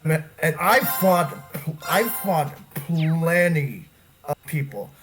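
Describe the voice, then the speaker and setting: A man giving casual gameplay commentary with halting, drawn-out reactions. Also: fairly high pitch. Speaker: gamer reacting to a playthrough. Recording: game music behind the voice.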